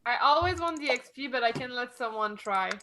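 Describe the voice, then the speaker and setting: A woman's voice: a little high, expressive, brisk, pushed and bright. A woman tabletop player bantering and joking with the group. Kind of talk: casual banter on a live stream.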